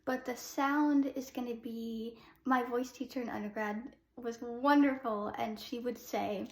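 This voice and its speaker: A young woman who sings soprano, teaching a casual singing lesson. She explains with short sung demos and fills pauses with "um.". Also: fairly high pitch, fairly even intonation, reverberant. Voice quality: soprano speaking voice.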